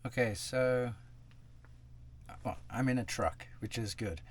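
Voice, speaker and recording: A man's voice: mid-range voice, slow, very noisy. A young man talking casually to camera in a travel vlog, rambling with lots of fillers and restarts, sitting in a truck cab. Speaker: travel vlogger. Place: inside a parked truck cab.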